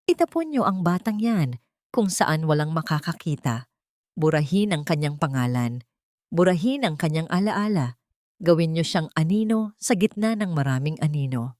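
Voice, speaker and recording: A woman's voice: low-pitched, big pitch swings, normal speed, a little mellow, dry recording, studio quality. Woman playing a venomous, commanding Filipino matriarch. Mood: cruel, commanding. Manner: menacing, commanding. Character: cold, venomous, ruthless rich matriarch villain.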